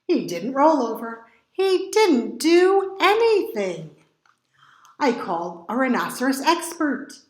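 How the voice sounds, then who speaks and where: A woman storytime reader with a high, very animated voice. She reads picture books to children slowly, drawing out words for drama. The recording has an echoey room sound. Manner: dramatic emphasis with drawn-out words. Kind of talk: expressive picture book read-aloud for children.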